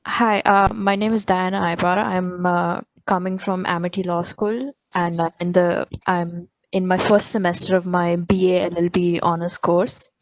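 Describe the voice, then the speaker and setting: A woman's voice: lowish voice, flat delivery, moderate pace, hardly any pauses, dry recording, poor audio. A young woman with an Indian accent, a first-semester law student, asking a question over a video call in a nervous, hesitant way. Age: young adult.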